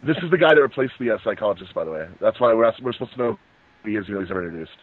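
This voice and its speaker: Animated man on a tinny call. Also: fairly low pitch, racing. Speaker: co-host. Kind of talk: casual joking film commentary among friends. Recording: tinny, band-limited voice-call audio.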